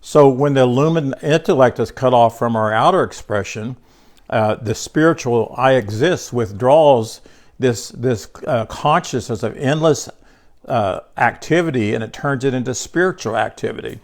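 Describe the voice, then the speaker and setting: A man's voice: medium pitch, moderate pace. An older man teaching a small group about scripture in a loose, conversational way, congested, often restarting words and speaking to listeners by name. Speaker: bible teacher.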